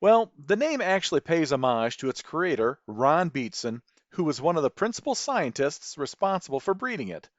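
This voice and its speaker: A man with a Midwestern American accent hosts a podcast from a script. His fairly high voice swings up and down in a lively way, with careful, folksy phrasing. The recording is dry and slightly dull. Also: moderate pace, few pauses, no room sound. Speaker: podcast host. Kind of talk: scripted narration.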